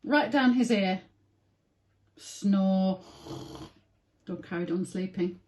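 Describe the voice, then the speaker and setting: A woman's voice: medium pitch, slow pace, a little mellow. A woman reading a picture book aloud to young children with simple phrasing and dramatic emphasis. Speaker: early years story reader.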